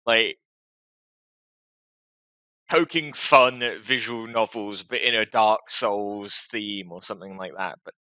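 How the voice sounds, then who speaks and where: A man's voice: fairly low pitch, slow, long pauses, bright, forceful tone, dry recording, poor audio. A man talking casually and hesitantly to his viewers as a gaming video host, with a self-deprecating, rambling manner.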